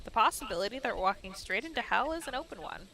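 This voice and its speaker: A woman's voice: on the high side, animated, fast talker, sharp tone, poor audio. Woman reading as Ashley, a sarcastic young American woman. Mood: sardonic. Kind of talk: dramatic read-aloud visual novel narration.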